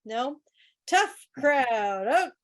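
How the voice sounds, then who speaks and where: High-voiced woman hosting casual trivia. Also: sing-song, very slow. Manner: wry, joking asides. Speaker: quiz host. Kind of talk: casual live trivia commentary. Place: online video meeting.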